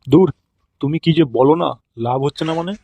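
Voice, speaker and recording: A man's voice: on the high side, brisk, dark tone, muffled recording, close to an everyday voice. A man playing a crafty, foul-mouthed older village uncle in a comedy cartoon. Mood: boastful, chatty. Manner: colloquial, wheedling, swearing.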